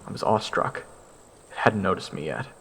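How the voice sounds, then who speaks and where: A man narrating a first-person fantasy story in a theatrical way, with dramatic pauses. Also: low voice, expressive, gravelly, husky, poor audio. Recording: loud music under the narration.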